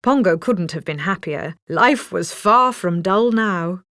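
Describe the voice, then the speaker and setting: A woman's voice: on the low side, lively intonation, takes it slowly, fairly bright tone, no echo. Woman narrating a children's storybook, dry close sound.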